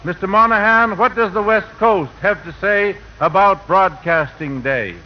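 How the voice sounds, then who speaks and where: A man's voice: sits high, unhurried, lo-fi sound. A man delivering a formal, oratorical prepared speech as a fair official in an old 1940 radio broadcast. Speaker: fair official and speaker introducing guests.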